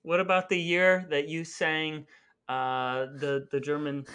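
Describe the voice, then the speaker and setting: A man's voice: fairly high pitch, flat delivery, unhurried, sharp tone, clean tone. A man co-hosting a podcast with a playful, mock-earnest delivery that mixes chat and scripted patter. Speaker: podcast co-host. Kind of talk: chatty scripted podcast narration.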